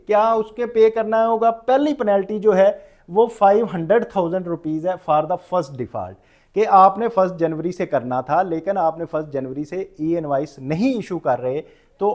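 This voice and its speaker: A man's voice: sits high, darkish tone. A man with a Pakistani accent lecturing on rules as an instructor, explaining didactically and restating each point. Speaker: tax lecturer.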